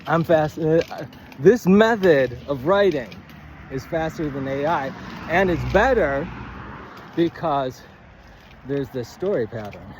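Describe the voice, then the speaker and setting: A man giving a rambling, informal lesson as a writing coach, thinking aloud in a halting, stammering way and often wandering off on tangents. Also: a little high, animated, a little hiss or hum. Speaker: writing coach, presenter of a video series.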